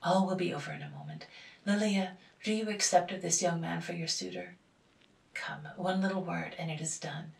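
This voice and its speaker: A woman voicing an angry, commanding old father in a storybook reading, loud and scolding, in an echoey room. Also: on the low side, steady tone, normal speed, vocal fry, recorded from a distance.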